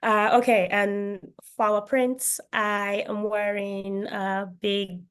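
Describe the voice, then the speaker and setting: A woman talks very slowly and warmly on a video call, though her voice has been fading for a week. Her sound stays smooth and clear, and her pitch is in a middle range. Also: level tone. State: losing her voice. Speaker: economist and founder.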